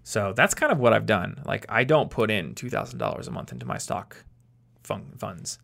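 A man in his late twenties hosting a podcast, explaining things in a relaxed chat while thinking aloud and restarting his sentences. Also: fairly low pitch, moderate pace, gravelly. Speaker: podcast host.

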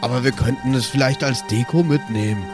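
A man's voice: mid-range voice, fairly quick. A man playing a tabletop role-playing game, talking casually and improvising dialogue as his character. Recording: music behind the voice. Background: music.

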